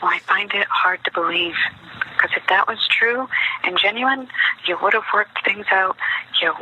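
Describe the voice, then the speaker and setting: A woman's voice: medium pitch, normal speed, sharp tone, slightly rough. A woman leaving a rambling, repetitive voicemail, sounding aggrieved and accusatory, in a lo-fi recording played back. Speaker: voicemail caller. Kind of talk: rambling personal voicemail message. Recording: noisy lo-fi voicemail played over speakers.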